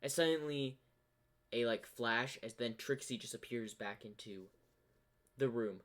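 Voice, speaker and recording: Slow-paced man narrating a fan story. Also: mid-range voice, fairly bright tone. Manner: halting, loosely improvised phrasing. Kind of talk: improvised fan-fiction narration.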